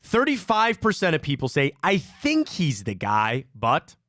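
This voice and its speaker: A sports talk host from Cleveland with a high voice that swoops all over as he tells stories and repeats his points. The sound is dry and close, with little room around it. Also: unhurried, no room sound. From Cleveland, Ohio. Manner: animated storyteller, repeats himself. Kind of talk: live sports talk show.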